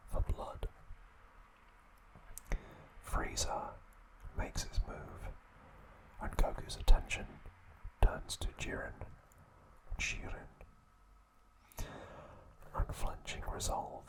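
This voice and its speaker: A man hosting ASMR, narrating trivia in a hushed, deliberate way with short broken phrases, close to the microphone. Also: rough recording. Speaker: ASMR video host.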